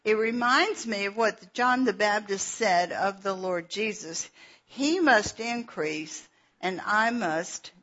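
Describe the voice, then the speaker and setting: Slow, husky Southern woman teaching. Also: mid-range voice, fairly bright tone. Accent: Southern US (Georgia). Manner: folksy, chatty storyteller who laughs at herself. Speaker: Bible teacher and author. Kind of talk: conference teaching session. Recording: dull lo-fi recording.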